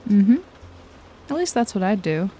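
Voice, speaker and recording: A woman's voice: medium pitch, big pitch swings, measured pace, warm tone. A woman playing a wry, jaded detective and hired killer in a noir ASMR roleplay, nonchalant and teasing with a casually menacing edge. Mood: watchful, matter-of-fact. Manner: sardonic, foul-mouthed, nonchalant, teasing. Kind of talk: noir ASMR roleplay, intimate one-sided dialogue to listener. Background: jazzy music and rain effects.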